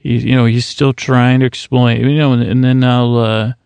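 A middle-aged man with a gravelly, creaky voice drifts through a sleepy ramble, his pitch barely rising or falling. He meanders, trips over his own restarts and keeps everything soothing. The sound is close and dry, with no room around it. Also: little pitch movement, normal speed, a little mellow, no echo. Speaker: sleep podcast host.

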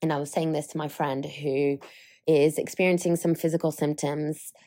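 A woman in her thirties with a fairly low voice that is slightly croaky. She chats alone on her podcast in a loose, wandering way, loses her thread and jokes at her own expense. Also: little pitch movement, normal speed, warm tone. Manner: rambling, self-mocking, loses her train of thought. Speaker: podcast host. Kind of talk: casual solo chat.

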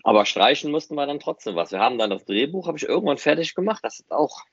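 A man's voice: on the high side, sing-song, quick pace, slightly rough, no echo. A man co-hosting a fan podcast, explaining things in a casual, conversational way. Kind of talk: casual explanatory chat about a hobby production. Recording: slightly dull, dry close recording.

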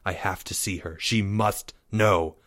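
A man's voice: mid-range voice, very animated, slow, fairly bright tone, heavily acted. Man acting out a melodramatic lovestruck boy, swooping. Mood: dreamy, grandiose. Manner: theatrical, pompous declarations.

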